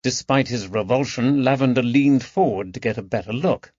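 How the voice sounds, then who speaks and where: A man's voice: mid-range voice, moderate pace, a little mellow, no echo. Dry, slightly dull male audiobook narrator. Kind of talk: scripted narration of a mystery novel.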